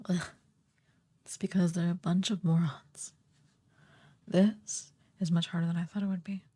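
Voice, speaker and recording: A woman playing a haughty, sardonic evil sorceress queen in a soft, breathy, imperious voice for a fantasy ASMR roleplay. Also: mid-range voice. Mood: haughty exasperation. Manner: imperious, theatrically disdainful, drawn-out delivery.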